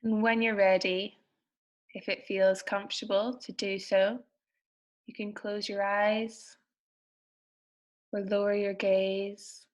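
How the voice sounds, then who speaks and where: A woman leading a guided meditation, speaking in a soothing, gentle manner with unhurried phrases and pauses. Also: mid-range voice, little pitch movement.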